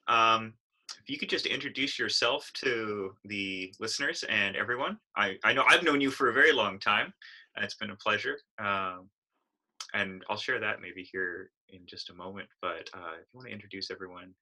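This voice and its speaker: A man's voice: fairly low pitch, moderate pace, low-quality recording. A man hosting an interview, speaking hesitantly with many "uh"s as he introduces his guest. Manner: warm, hesitant, fills gaps with "uh". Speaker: podcast host. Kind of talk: casual interview.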